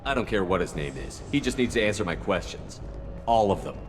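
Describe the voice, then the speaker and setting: An actor playing a no-nonsense, decisive space commander in a video game, speaking bluntly. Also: male voice, on the low side, animated, fairly quick, long reverb tail, over music, close to an everyday voice.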